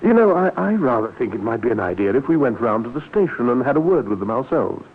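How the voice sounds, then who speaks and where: A mild, courteous English gentleman in a vintage radio play. His intonation is very varied, hesitant and quietly concerned. The recording is thin and tinny. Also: mid-range voice, very animated, fast talker, slight background noise. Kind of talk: 1950s radio drama acting.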